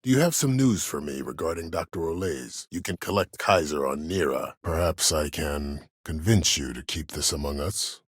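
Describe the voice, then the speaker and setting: An actor playing an imprisoned, disgraced admiral in a video game, a calculating schemer who speaks with cold courtesy in measured, formal, deliberate phrases. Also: male voice, extremely low pitch, breathy edge, no room sound, studio quality, heavily acted. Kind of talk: video game character dialogue.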